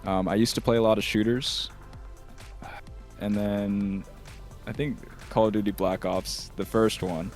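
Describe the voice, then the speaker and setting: A man guest in a casual interview, talking in a hesitant, halting way. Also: fairly low pitch, steady tone, darkish tone, faint music behind.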